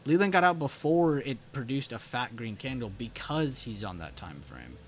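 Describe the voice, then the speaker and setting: A man hosting a live trading stream, animated and joking, with plenty of filler words. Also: medium pitch, moderate pace, crowd noise. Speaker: stream host and trading teacher. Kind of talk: casual live-stream chat.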